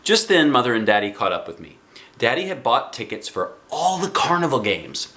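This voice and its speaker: A man in his forties reading a children's book aloud expressively, with dramatic pauses and emphasis. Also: mid-range voice, very animated, pushed and bright. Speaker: storybook reader. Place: small living room indoors.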